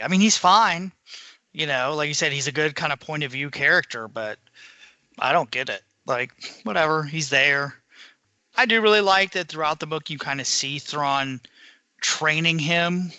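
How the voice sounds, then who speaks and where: A man's voice: medium pitch, pushed and bright, smooth, dry recording. A man co-hosting a podcast, chatting casually about books. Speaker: podcast co-host. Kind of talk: casual chat.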